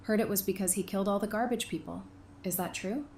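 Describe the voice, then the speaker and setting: A woman's voice: medium pitch, fast, a little mellow, lightly acted. A woman playing a guarded, dry-witted therapist in an audio drama, blunt and challenging with a prisoner. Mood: dry, composed.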